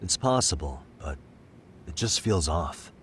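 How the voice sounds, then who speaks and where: Calm deep-voiced detective. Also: male voice, unhurried, slight background noise. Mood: thoughtful. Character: calm, analytical adult male detective. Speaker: detective lead. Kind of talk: video game voice acting.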